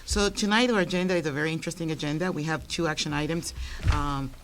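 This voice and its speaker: A man giving a formal presentation to a school board at a public meeting, pausing often with fillers and restarting his sentences. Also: on the high side, steady tone, very noisy. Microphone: room microphone, quiet for listeners.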